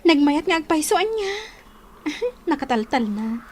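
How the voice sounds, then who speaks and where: Caring, excitable Ilocano village friend, high-pitched, radio drama. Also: female voice, heavily acted.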